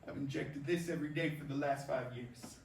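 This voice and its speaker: A man's voice: a little high, fairly even intonation, a little fast, vocal fry. A man on stage playing a cocky, crude veteran baseball star who brashly and slyly schools a rookie in slangy tough-guy talk. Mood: smug. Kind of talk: staged reading of a play, theatrical dialogue. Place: indoor performance space, recorded from afar. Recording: roomy distant stage recording, background noise.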